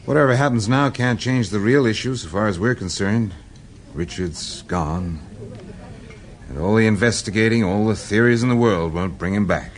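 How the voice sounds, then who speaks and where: An actor playing a polite, weary American husband in a radio drama, speaking in a measured, courteous way. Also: male voice, on the low side, natural voice. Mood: resigned. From United States. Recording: noisy radio drama recording with ambience.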